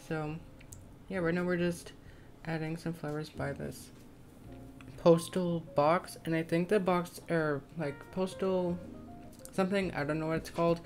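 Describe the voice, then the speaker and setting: A young woman with a slightly scratchy voice giving casual, tentative, rambling voiceover commentary on a game build. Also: low voice. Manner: rambling, self-conscious, tentative. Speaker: gaming video creator. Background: soft plucked guitar music.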